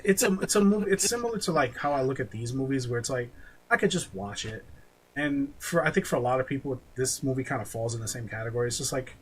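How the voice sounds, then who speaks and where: A man's voice: mid-range voice, animated, fast. Casual male podcast host, noisy background. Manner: informal, sweary. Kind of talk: casual chat, film ranking banter.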